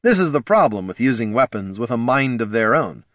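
A man's voice: medium pitch, big pitch swings, fairly quick, fairly bright tone, no echo. A man voicing a wry, cautious male adventurer with dry remarks.

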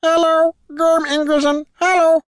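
Shrill, excitable little goblin squire. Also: male voice, a little slow, no room sound, studio quality. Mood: excited, insistent. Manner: vigorous, exclamatory broken phrasing. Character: small excitable goblin squire with broken speech.

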